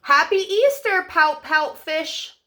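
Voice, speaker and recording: A woman's voice: very high voice, takes it slowly, sharp tone, voice pushed up, exaggerated voice. A woman voicing a friendly little girl fish in a storybook, childlike, eager and cheerful.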